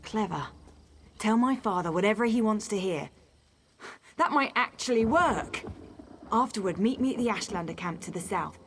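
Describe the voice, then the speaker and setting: A woman's voice: mid-range voice, animated, moderate pace, pauses often, a little hiss or hum, lightly acted. An actress playing a headstrong, sarcastic young noblewoman on the run in a video game, defiant and wry. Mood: determined. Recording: game music behind the voice.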